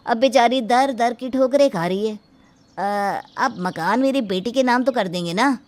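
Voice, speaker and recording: A woman's voice: on the high side, moderate pace, a little mellow, a little hiss or hum, lightly acted. A woman playing an earnest, pleading family member in a dramatic moral story. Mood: worried.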